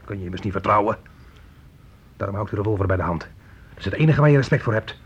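A man's voice: lowish voice, animated, fast, natural voice. An actor playing a volatile young fugitive who bullies his mother in a radio play, menacing and childishly needy. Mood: menacing, sneering. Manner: menacing, swings into childish pleading. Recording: muffled, noisy old radio play recording.

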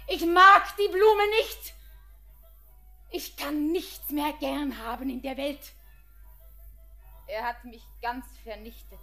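A woman's voice: sits high, a bit bright, heavily acted. A young woman playing a distraught, dreamy bride in a poetic radio drama, with a theatrical, lyrical delivery. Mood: feverish, agitated, then desolate.

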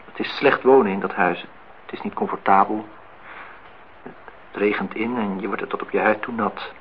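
An actor playing a brooding, insistent, melancholy engineer in a radio play. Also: male voice, on the low side, steady tone, normal speed, close to an everyday voice. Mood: brooding, wistful. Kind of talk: radio play dialogue and monologue. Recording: muffled, noisy old radio-play recording.

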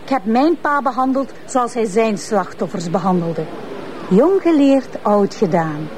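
A defiant young woman speaks in a radio play. She sounds cold and scornful in a higher voice, with faint noise behind. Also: lively intonation, measured pace, darkish tone.

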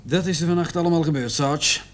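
An actor playing a brisk, sceptical policeman with a terse, questioning manner in a Dutch radio play. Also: fairly high pitch, close to an everyday voice. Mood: speculative. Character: brisk, sceptical police officer questioning, reporting to his sergeant.

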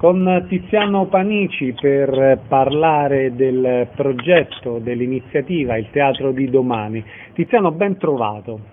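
A man's voice: mid-range voice, a little slow, hardly any pauses. Tinny-sounding male radio host, music behind. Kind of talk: radio interview.

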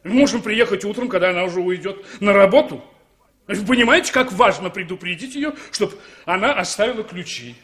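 An actor in a 1960s Soviet radio play as a self-important, pushy car owner, pompous and officious. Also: male voice, high voice, lively intonation, brisk, a bit bright. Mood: impatient, pushy.

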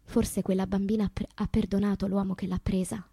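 Wistful wounded poet woman, radio monologue, breathy husky voice, theatrical, sinks into whispers, bone dry sound. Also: mid-range voice, level tone, fairly quick, warm tone, no room sound. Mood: wistful, haunted. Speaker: actress.

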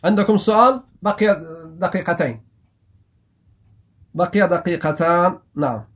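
A man from Afghanistan teaching grammar, who explains slowly and repeats phrases while asking himself rhetorical questions. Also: sits high, expressive. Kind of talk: grammar lesson.

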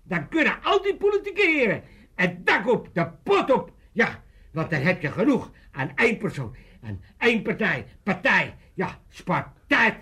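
An actor playing an excitable, self-important older father in a comic radio play, rambling and stammering pompously. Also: high-pitched, normal speed, husky, heavily acted. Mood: excited, pompous. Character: excitable self-important old Amsterdam father, dreaming of politics.

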